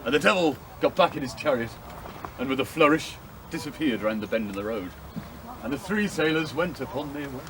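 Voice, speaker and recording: A man telling a pirate story live to an audience outdoors, lively and playful with little hesitations. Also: medium pitch, fairly quick. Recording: outdoor street noise.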